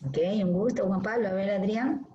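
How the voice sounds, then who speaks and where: Woman, lecturer calling roll, mellow voice, echoey room, dull audio with faint hiss. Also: medium pitch. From Guayaquil, Ecuador. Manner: brisk, friendly, calling names. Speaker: dermatology lecturer. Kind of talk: online class roll call. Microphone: video call.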